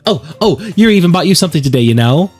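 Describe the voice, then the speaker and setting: Bubbly, oblivious visual novel schoolgirl, played by a man, pitched up and very animated. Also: racing, husky, soft background music. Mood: teasing. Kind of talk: visual novel character read-aloud.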